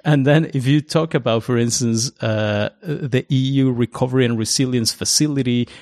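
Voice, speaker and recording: A man's voice: medium pitch, moderate pace, dry recording. Guy from mexico, spanish first language, chatty and loose with lots of ums, dry close mic. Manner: relaxed, anecdotal, many fillers. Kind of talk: casual discussion.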